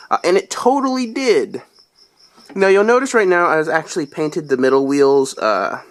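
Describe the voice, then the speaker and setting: A young man who has been sick, his voice deeper than usual, rambling through a casual toy review with lots of "uh"s. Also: fairly bright tone. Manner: rambling, chatty, lots of fillers.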